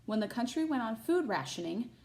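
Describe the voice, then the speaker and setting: A woman's voice: on the high side, sing-song, a little fast, gravelly, a little mellow. A woman teacher reading a children's picture book aloud expressively, with short asides to her listeners.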